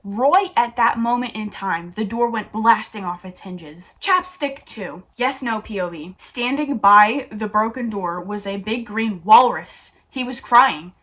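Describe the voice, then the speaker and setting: A woman's voice: medium pitch, measured pace, hardly any pauses, a bit bright. A woman hosting a comedic read-aloud, playful and mock-dramatic, narrating a story and dropping in her own asides. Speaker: YouTube host.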